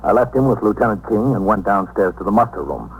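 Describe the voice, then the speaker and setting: An actor playing a dry, tough police captain, matter-of-fact and clipped in the style of a 1950s radio drama. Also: male voice, on the low side, lively intonation, fast, phone-quality sound. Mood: factual, dry. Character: dry, tough New York police captain narrating cases. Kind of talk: factual scripted narration, 1950s radio acting. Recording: noisy, humming 1950s radio recording.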